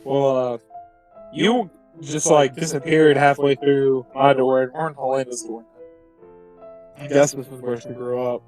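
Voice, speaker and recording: A man's voice: mid-range voice, level tone, unhurried, darkish tone, soft background music, poor audio. A man roleplaying his character in a tabletop game, casual and joking. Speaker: player.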